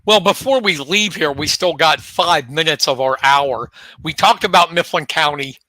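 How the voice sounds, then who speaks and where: A man co-hosting a local high school sports talk show, chatting in a relaxed way and leading the rundown of teams. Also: moderate pace, no echo, poor audio.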